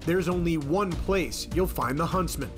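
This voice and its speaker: A man's voice: fairly high pitch, moderate pace. A man reading scripted narration for a documentary. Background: music.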